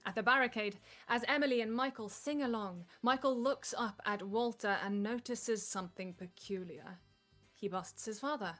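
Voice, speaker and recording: A woman's voice: fairly high pitch, moderate pace, fairly bright tone, soft background music. A woman reading stage directions as the narrator of a live script table read.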